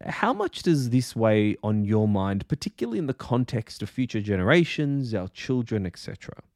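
Mellow, expressive male podcast host. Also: on the low side, normal speed, no echo, clean studio sound. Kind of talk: scripted introductions and interview questions.

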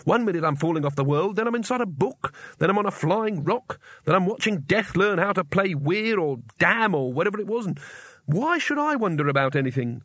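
A man's voice: high-pitched, animated, brisk, fairly bright tone, no echo, voice pushed up. A man voicing a hapless, cowardly wizard in a storybook reading, nervy, indignant and sarcastic. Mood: weary exasperation.

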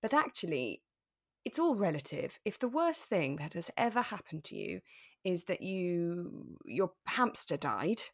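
Woman podcast host w lowish voice, chatty n earnest, gets keen about stuff. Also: sing-song, a little slow, fairly bright tone. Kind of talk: casual chat about a radio drama.

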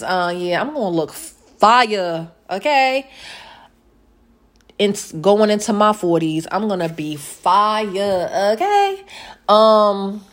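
A woman in her late thirties hosting a casual solo podcast, chatty and playful, repeating words and filling pauses with um. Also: medium pitch. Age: late 30s. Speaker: podcast host.

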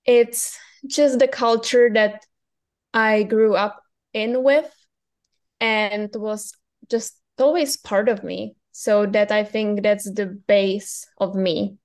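Slow-talking woman. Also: medium pitch, steady tone, darkish tone. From Czech Republic, South Moravia. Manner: slow, careful, hesitant. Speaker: podcast guest.

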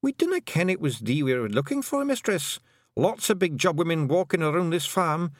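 A man's voice: fairly high pitch, animated, normal speed, dry recording, clean studio sound, pitched above the performer's own voice, lightly acted. A man voicing a tiny, fierce male pixie clan chief with a Scottish accent and a brash, wheedling manner. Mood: cheeky.

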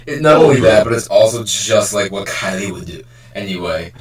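A young man hosting a casual podcast, riffing excitedly and swearing as he jokes with friends. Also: lowish voice, moderate pace, slightly rough. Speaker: podcast host. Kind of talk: casual joking group banter.

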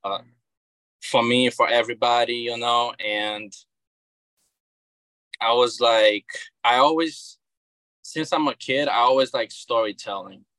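A man's voice: mid-range voice, fairly even intonation, unhurried, pauses often, pushed and bright, no echo, rough recording. A man in his thirties from Brazil with a Brazilian Portuguese accent, a guest talking casually in an interview and often repeating words as he restarts. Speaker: guest, comic book creator.

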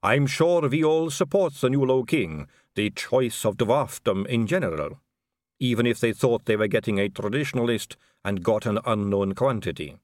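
A man voicing an aristocratic lady vampire in an audiobook, smooth, measured, knowing and condescending. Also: medium pitch, darkish tone, dry recording, clean studio sound, pitched above the performer's own voice, natural voice. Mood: smooth, diplomatic. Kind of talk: audiobook character dialogue.

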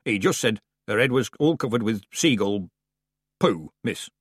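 A cheeky rustic villager, voiced by a man in a storybook reading. The voice stays low and husky, close to the reader's own, with a dry sound. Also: animated, unhurried, no room sound. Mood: sheepish.

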